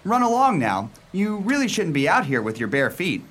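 A man's voice: sits high, huge pitch swings, moderate pace, fairly bright tone, heavily acted. A man acting in a radio play as a reluctant young trainee ice cream seller, blunt and grumbling. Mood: brusque.

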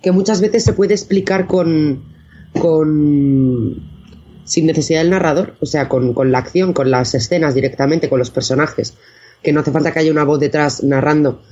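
A woman's voice: low voice, moderate pace, warm tone. Dull lo-fi recording, woman interview guest, thoughtful, hesitant, searching for words. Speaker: interview guest, audio fiction maker.

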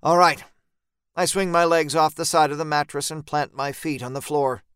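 A man narrates a dark first-person tale with measured tension. His voice is smooth and resonant, sitting a little high for a man. The recording is very dry and close, with every word cleanly shaped. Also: steady tone, dry recording. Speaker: story narrator. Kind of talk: dramatic first-person horror audiobook narration.

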